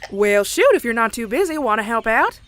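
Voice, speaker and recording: A woman's voice: fairly high pitch, very animated, a little fast, a bit bright, no room sound. A woman voicing a plainspoken young farm mare with a folksy Southern American drawl in a comic dub. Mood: friendly, upbeat. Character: plainspoken, weary young adult farm mare, cowgirl pony. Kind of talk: animated comic dub acting and narration. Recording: music bed under the voice.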